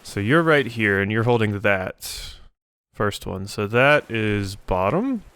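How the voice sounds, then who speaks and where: A man's voice: lowish voice, sing-song, takes it slowly, fairly bright tone, clean tone. A man doing casual let's play commentary, thinking aloud as he plays.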